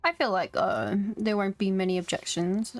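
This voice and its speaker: A woman chatting casually on a gaming livestream, making relaxed, rambling asides. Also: mid-range voice, normal speed, dry recording, faint music behind. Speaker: live game streamer.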